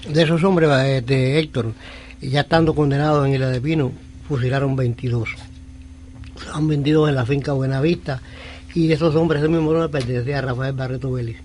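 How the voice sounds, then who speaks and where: An older man with a Cuban accent speaking on a radio panel in a measured, solemn tribute style. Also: medium pitch, steady tone, darkish tone, a little hiss or hum. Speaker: exile veteran, radio panelist.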